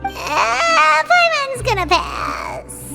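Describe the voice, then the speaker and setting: A woman voicing a small, chirpy, curious fairy companion with a squeaky childlike voice in a video game. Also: big pitch swings, drawn-out words, creaky, loud music behind the voice, heavily acted, rough recording. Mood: puzzled. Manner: speaks of herself in third person.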